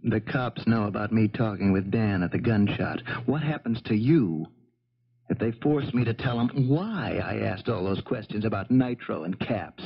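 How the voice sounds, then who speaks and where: An actor playing a cocky, sleazy lover and blackmailer, slangy and smug, in a 1970s radio drama. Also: male voice, lowish voice, moderate pace, gravelly, breathy edge. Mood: smug menace. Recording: muffled 1970s radio broadcast.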